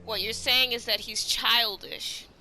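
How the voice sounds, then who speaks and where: A woman's voice: on the high side, fairly even intonation, a little slow, pushed and bright, rough recording. A woman streaming a video game with casual, playfully dramatic reactions. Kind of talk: casual reactive gameplay commentary. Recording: game music under the voice.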